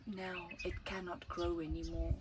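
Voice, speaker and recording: Middle-aged female storyteller, unhurried and expressive children's read-aloud, dramatic pauses, outdoors with faint birdsong. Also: fairly low pitch, noisy background. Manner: deliberate, dramatic pauses between short phrases. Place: outdoors in an open gazebo at a flower farm. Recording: outdoor recording with birdsong behind. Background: birds chirping.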